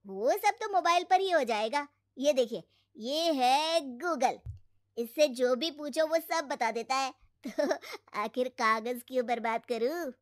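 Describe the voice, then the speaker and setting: A woman puts on a cocky twenty-year-old young man for an animated moral tale. He talks like a breezy know-it-all, in a high voice, recorded dry. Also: slow, dry recording. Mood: smug, breezy. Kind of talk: animated moral story character acting.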